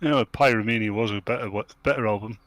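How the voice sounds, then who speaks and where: Fast talking guy, deadpan panel banter, really dry sound. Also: on the low side, no echo. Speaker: panel member. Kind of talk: casual banter.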